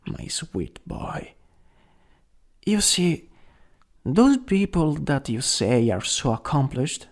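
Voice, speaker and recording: A young man acting a caring, worried best friend in an ASMR roleplay, gentle, hesitant and intimate. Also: fairly high pitch, gravelly, exaggerated voice.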